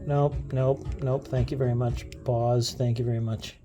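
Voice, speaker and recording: A man with a relaxed, mellow voice of average pitch talks through a gadget demo. He is casual and unscripted, often pausing and restarting as he thinks aloud while fiddling with things. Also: lo-fi sound, faint music behind. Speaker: gadget video host.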